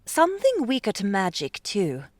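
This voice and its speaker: A woman playing a loud, assertive, bossy elf mage in a fantasy audiobook. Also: mid-range voice, huge pitch swings, moderate pace, no room sound. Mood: matter-of-fact. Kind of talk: audiobook character acting.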